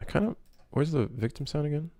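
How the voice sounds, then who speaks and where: A man from Switzerland, a native French speaker, chatting casually on a livestream as he works on game development, thinking aloud in short, halting remarks. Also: a little high, animated, gravelly, mellow, a little hiss or hum. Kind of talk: casual livestream chat while working.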